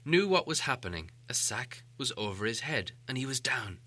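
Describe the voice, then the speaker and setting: Animated, bright-voiced male storyteller. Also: mid-range voice, normal speed. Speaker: audiobook narrator.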